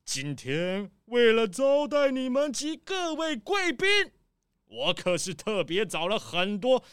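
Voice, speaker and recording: Pompous rich crocodile boss for a kids audio drama, crisp n close, theatrical, swoopy. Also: medium pitch, big pitch swings, dry recording. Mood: effusive, showy. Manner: boastful, theatrical, drawn-out exclamations. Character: pompous, showy rich crocodile gentleman throwing his birthday banquet. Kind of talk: children's audio drama acting.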